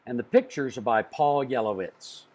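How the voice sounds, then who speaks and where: A man reading a children's storybook aloud in an expressive way, with dramatic pauses. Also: medium pitch. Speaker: storybook reader.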